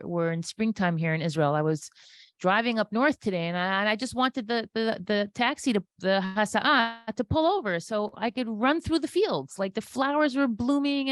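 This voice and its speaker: A woman's voice: on the high side, no echo. Earnest woman giving inspirational talk, dry close sound. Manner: earnest, emphatic, repeats phrases for emphasis. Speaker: interview guest, inspirational speaker. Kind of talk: impromptu religious inspirational talk.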